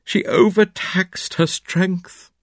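A man voicing a hypocritical middle-aged husband with a high, slow, overwrought delivery. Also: fairly even intonation, dry recording. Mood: mock grief.

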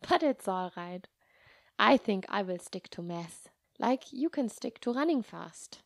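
A woman voicing a kind, patient nun in a children's story, speaking softly and reassuringly with gentle humor. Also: mid-range voice, animated, creaky, dry recording, clean studio sound. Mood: warm, forgiving. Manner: soft, measured, reassuring.

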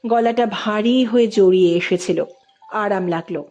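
A woman storyteller reading scripted fiction with expressive narration. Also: medium pitch, normal speed, lo-fi sound.

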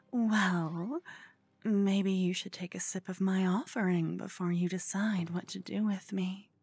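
Woman doing a cute obsessed yandere girl for asmr, slow breathy cooing, super close and dry. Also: mid-range voice, steady tone, darkish tone, no room sound. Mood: coy, lovesick. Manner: breathy, coy, cooing, often whispering. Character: cute, obsessed yandere con woman, gleefully scheming and clingy. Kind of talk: ASMR roleplay.

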